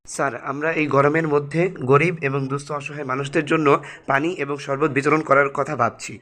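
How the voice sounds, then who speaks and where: A woman's voice: deep voice, flat delivery, a little fast, a bit bright. A woman playing a helpful, earnest schoolchild in a cartoon, speaking politely. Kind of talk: didactic cartoon dialogue.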